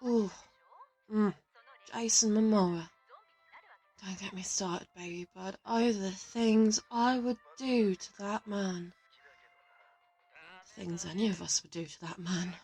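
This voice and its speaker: A woman's voice: medium pitch, takes it slowly, long pauses, dark tone, breathy edge, faint music behind. A woman playing a cheeky, teasing former assassin turned thief in an audio roleplay, playful and taunting. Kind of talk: audio drama roleplay dialogue.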